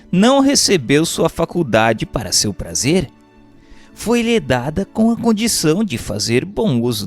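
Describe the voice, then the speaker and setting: A man with a Brazilian accent reading a doctrinal text aloud as a narrator, in a formal, didactic way. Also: on the high side. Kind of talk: scripted audiobook-style narration of a doctrinal text.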